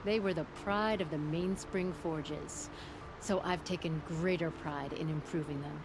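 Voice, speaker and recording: A low-pitched proud forgewoman in a video game, confident and flirtatious. She talks in a wry, teasing way, with clear ambient noise behind her. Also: fairly even intonation, measured pace. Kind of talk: video game dialogue acting.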